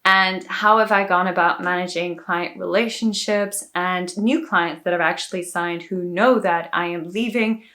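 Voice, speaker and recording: A woman's voice: on the low side, steady tone, measured pace, hardly any pauses, pushed and bright. A woman from South Africa with an accent shaped by years in Dubai, chatting to camera in a vlog and explaining things step by step. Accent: South African with a Dubai-influenced lilt. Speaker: vlogger. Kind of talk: casual talking-to-camera vlog.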